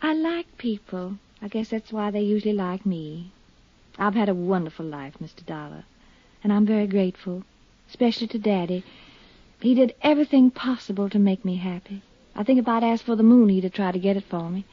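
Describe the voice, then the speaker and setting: A woman's voice: medium pitch, lively intonation, a little fast, dry recording, close to an everyday voice. An actress playing a poised, earnest, grieving young woman in a 1950s radio drama, confiding yet firm. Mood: frank, confiding. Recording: dull, dry 1950s radio recording.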